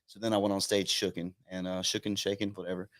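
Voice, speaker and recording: A man's voice: sits low, little pitch movement, quick pace, fairly bright tone, no echo, clean studio sound. A man in his twenties from South Carolina, a guest comedian telling self-deprecating stories with frequent laughs in a casual podcast chat. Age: 20s.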